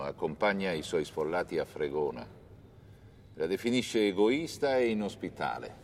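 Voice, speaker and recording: A man reading scripted historical narration for a documentary film in a measured storytelling manner. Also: sits low, slight background noise.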